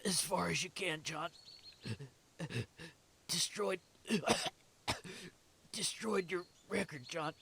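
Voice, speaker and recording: A dying young prison guard, in an audio drama. His voice is high for a man and gravelly, dragging slowly through short, labored phrases. He sounds broken yet selfless. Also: bright, forceful tone, exaggerated voice. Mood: weak, resigned. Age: young adult. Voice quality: weak, strained, raspy.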